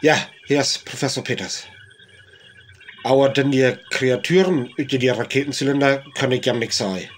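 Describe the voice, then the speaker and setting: Man playing north frisian professor in radio drama, explains things cautiously, normal pitch, bit of background noise. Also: normal speed, natural voice. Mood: cautious, explaining. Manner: explanatory, speculative. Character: male professor cautiously explaining alien invaders' weapons. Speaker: scientist interviewed on radio. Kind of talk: radio play interview.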